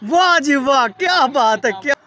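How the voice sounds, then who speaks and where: A man's voice: very high-pitched, animated, unhurried, sharp tone. A man playfully commentating his friends' jumps outdoors by a canal, teasing and laughing. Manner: teasing, laughs often, exclaims wah. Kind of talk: playful mock sports commentary.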